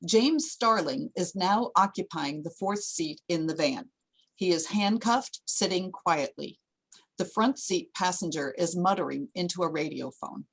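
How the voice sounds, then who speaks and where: A woman's voice: lowish voice, measured pace, fairly bright tone, husky, poor audio. A woman reading screenplay stage directions as a plain, descriptive narrator.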